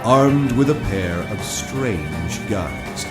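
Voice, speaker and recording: A man's voice: on the low side, expressive, extremely slow. A man giving dramatic scripted narration for an audio drama. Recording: loud music behind.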